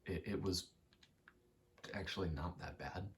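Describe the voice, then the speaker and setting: Very low-voiced man rambling softly in ASMR. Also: a little hiss or hum. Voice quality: soft, low, often slipping into whisper. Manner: halting, self-deprecating, casually sweary. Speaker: ASMR creator. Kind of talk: rambling ASMR, casual personal chat while gaming.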